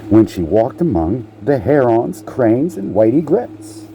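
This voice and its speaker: Man, storybook narrator, low mellow voice, swooping intonation, halting delivery, playful asides, music behind. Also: big pitch swings, a little hiss or hum, rough recording. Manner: halting, repeats words, playful off-script asides. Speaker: narrator reading a picture book aloud. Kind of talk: storybook read-aloud with casual asides.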